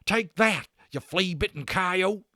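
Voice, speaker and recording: A man voicing a hot-tempered, defiant cowboy yelling in a gunfight for a storybook reading. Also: high-pitched, slow, no echo, studio quality, pitched above the performer's own voice, exaggerated voice. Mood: furious defiance.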